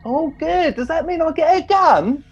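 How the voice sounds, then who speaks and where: A man's voice: very high-pitched, lively intonation, normal speed, dull audio. A man playing a naive, sulky young private and mummy's boy in a comic radio play, childish and a little whiny. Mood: eager.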